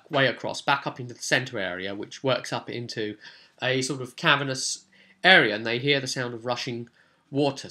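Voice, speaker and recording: A man's voice: mid-range voice, pushed and bright. A man speaking as a game master, casually recounting a tabletop session with conversational, explanatory asides. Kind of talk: casual rambling session recap.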